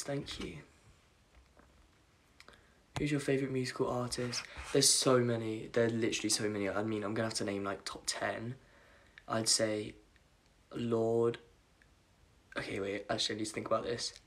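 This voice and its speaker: A young man with a croaky voice chatting casually on a phone livestream from his bedroom at night, reading comments aloud and apologizing often. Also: fairly low pitch, fairly bright tone. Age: young adult. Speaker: livestream host. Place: dim bedroom at night, indoors. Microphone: phone camera at arm's length.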